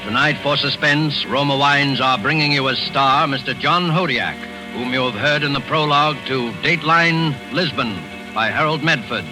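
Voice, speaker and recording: A man announcing a radio drama in a formal, measured way. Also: medium pitch, over music, low-quality recording.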